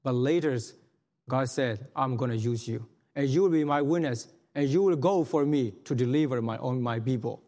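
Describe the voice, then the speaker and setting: A man's voice: medium pitch, mellow. Earnest man preaching in a hall. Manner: earnest, halting, many restarts and fillers. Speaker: missionary speaker. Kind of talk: sermon testimony. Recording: long hall reverb.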